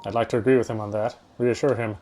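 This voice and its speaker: A man's voice: on the low side, fairly even intonation, quick pace, warm tone, soft background music. A man reading first-person story narration aloud in a dramatic way as he plays a visual novel.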